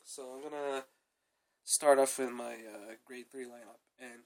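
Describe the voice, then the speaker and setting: A young man talks through his card deck in a loose, rambling way, tacking "though" and "uh" onto most sentences. He has a cold, which makes his voice sound a bit off. His pitch sits in the middle for a man. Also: fairly even intonation, measured pace. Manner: rambling, repeated fillers like "though" and "uh". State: sick with a cold, coughing. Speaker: card game reviewer. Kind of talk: casual deck review.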